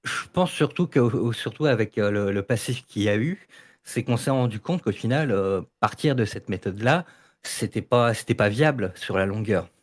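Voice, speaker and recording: Male debate participant, lowish pitch, informal and emphatic, restarts often, spontaneous podcast talk. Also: lively intonation, moderate pace, no room sound, clean studio sound. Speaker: podcast debate participant, audio fiction creator. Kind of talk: casual unscripted debate.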